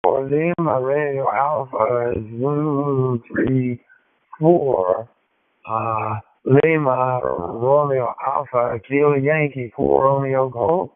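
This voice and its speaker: Slow-talking American man on tinny radio. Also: medium pitch, dry recording. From Georgia, United States. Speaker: amateur radio operator. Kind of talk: ham radio contact, polite informal exchange. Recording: tinny narrowband digital radio transmission.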